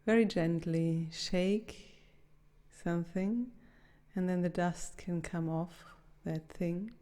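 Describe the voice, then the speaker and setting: A woman leading a guided meditation in a gentle, soothing, unhurried manner with long pauses. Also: lowish voice, fairly even intonation.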